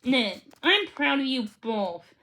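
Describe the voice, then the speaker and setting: Storybook mother read by a woman, approving and encouraging, high register, unhurried. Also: big pitch swings, bright, forceful tone, heavily acted, rough recording. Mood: proud.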